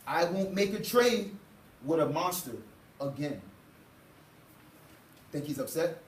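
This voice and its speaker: A young man reading a children's story aloud to a class with expressive, dramatic phrasing, as a student teacher. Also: on the high side, takes it slowly. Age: young adult. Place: indoor school classroom. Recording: roomy, distant mic, faint noise.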